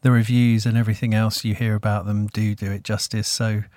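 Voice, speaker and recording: Rambling podcast host, dry sound. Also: male voice, lowish voice, brisk, no echo. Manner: relaxed, rambling, many fillers. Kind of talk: casual interview chat.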